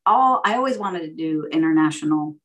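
A woman in her fifties with a notably low voice answers interview questions about her career in banking. She speaks in a measured, methodical way and sets out her ideas as orderly lists, pausing on the occasional "um". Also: animated, a bit bright. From San Francisco, United States. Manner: measured and orderly, laying out points as lists with "um" pauses. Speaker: guest, banking executive and board director.

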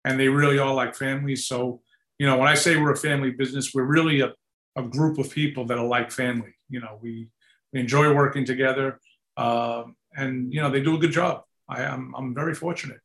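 A man in his sixties with a New York accent, a company founder chatting in an interview, relaxed and rambling with many fillers. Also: medium pitch, brisk. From New York City. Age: 60s. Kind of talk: casual interview chat. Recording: roomy echoey sound.

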